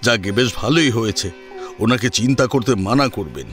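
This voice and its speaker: A man playing a genial, brisk family doctor in an audio drama, hearty and reassuring in manner. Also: mid-range voice, fairly bright tone, close to an everyday voice. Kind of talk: Bengali audio drama acting. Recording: music under the voice.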